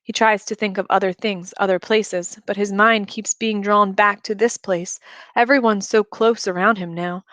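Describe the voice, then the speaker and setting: A woman's voice: medium pitch, fairly bright tone, dry recording. Woman reading a novel aloud, dry sound. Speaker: reader of an audiobook.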